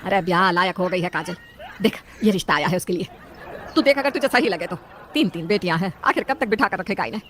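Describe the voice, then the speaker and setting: A woman's voice: lowish voice, lively intonation, quick pace, fairly bright tone, some chatter behind, a little hiss or hum. A woman voicing a stubborn, nagging elderly mother-in-law in a cartoon story, scolding and insistent. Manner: scolding, insistent, rhetorical questions.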